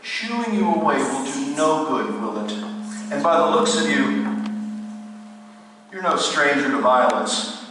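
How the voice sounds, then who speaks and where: An actor playing the ghost of a solemn, morbid storyteller, narrating a radio play in formal, grandiloquent phrases with deliberate dramatic pauses. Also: male voice, fairly high pitch, big pitch swings. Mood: indignant. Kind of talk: theatrical radio play narration to a live listener. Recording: distant echoey hall, faint noise.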